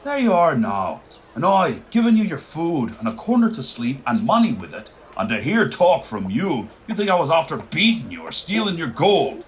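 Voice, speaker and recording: Impatient village blacksmith for radio drama; commanding taskmaster, lively intonation, slightly noisy ambience. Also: male voice, a little high, moderate pace, few pauses. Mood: scolding. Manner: scolding, commanding. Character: hard, bossy Irish village blacksmith man, impatient taskmaster. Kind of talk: theatrical radio play acting.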